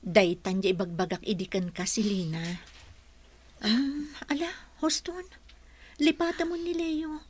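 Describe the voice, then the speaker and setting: Give me a high-voiced, frank confidante. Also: female voice, steady tone, a little slow, pauses often, husky. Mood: earnest, advising. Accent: northern Philippine. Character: concerned, frank young female confidante giving advice. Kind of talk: radio melodrama acting.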